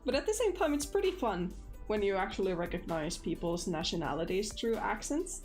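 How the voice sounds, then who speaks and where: A woman's voice: medium pitch, very animated, a little fast, a little mellow, faint music behind. Chatty Finnish-accented woman streamer. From Finland. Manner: chatty, lots of fillers, laughs easily. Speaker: livestream host, animator. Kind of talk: casual livestream chat.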